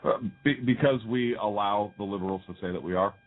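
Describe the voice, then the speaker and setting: A man with a low voice co-hosts a talk radio show, speaking earnestly and circling back with frequent restarts. The sound is thin and phone-like. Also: a little fast. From United States. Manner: earnest, rambling with many restarts. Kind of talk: casual talk radio banter.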